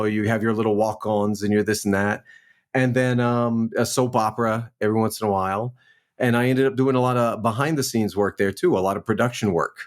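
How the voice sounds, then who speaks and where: A man in his forties from New Orleans, a guest actor telling his career story in a relaxed, chatty way on a remote call. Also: fairly low pitch. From New Orleans, Louisiana. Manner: relaxed, storytelling, frequent "man" and "you know". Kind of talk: casual interview chat.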